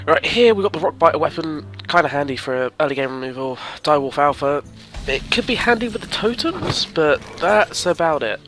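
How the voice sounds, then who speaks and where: A man's voice: on the high side, lively intonation, fairly quick, hardly any pauses, music in the background. A man with a cold, sniffling as he chats casually through his card game, thinking aloud and rambling. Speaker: gaming commentator. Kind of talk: casual let's-play commentary.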